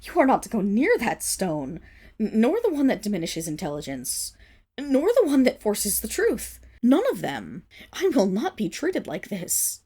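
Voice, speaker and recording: A woman's voice: mid-range voice, wildly swooping pitch, measured pace, mellow, husky, exaggerated voice. A woman playing a proud, indignant noble wolf-girl servant in an ASMR roleplay, with haughty, formal diction that falters when she is flustered. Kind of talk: ASMR roleplay, dramatic voice acting.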